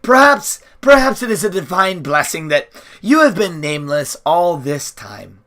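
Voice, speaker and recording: A man's voice: on the high side, very animated, a little slow, bright, forceful tone, heavily acted. Man acting a nervous, wailing little man. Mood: grave, fearful. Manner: hesitant, wailing, stammering. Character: small, miserable, nervous man, a shirking messenger.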